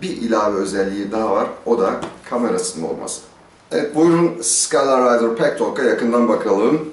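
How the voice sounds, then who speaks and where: A man's voice: fairly low pitch, animated, moderate pace. Middle-aged man, echoey and off-mic. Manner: conversational, asks and answers own questions. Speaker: motorcycle gear reviewer, host. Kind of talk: casual explanatory product review to camera. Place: small stone-walled outdoor courtyard. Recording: roomy echo, distant microphone.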